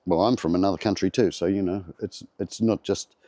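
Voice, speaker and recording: A man's voice: deep voice, fairly quick, no room sound. Australian man, voice off after the flu. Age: older. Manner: rambling, relaxed, many fillers and restarts. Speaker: vlogger. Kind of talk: casual chat to camera. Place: at his home by a greenhouse.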